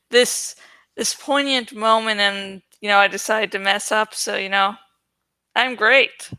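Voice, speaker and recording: A woman's voice: medium pitch, steady tone, takes it slowly, pauses often, pushed and bright, smooth, no echo, poor audio. A woman reading a storybook aloud in a dramatic style, an amateur narrator who pokes fun at her own slips. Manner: self-deprecating, stumbles and jokes about slips. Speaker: amateur audiobook reader. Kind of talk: dramatic storybook narration with self-mocking asides.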